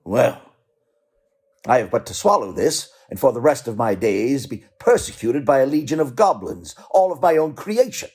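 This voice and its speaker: An actor playing a querulous, skeptical old miser who is easily frightened, in a theatrical radio play. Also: male voice, medium pitch, big pitch swings, moderate pace, darkish tone, husky. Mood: dismissive. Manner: dramatic, querulous.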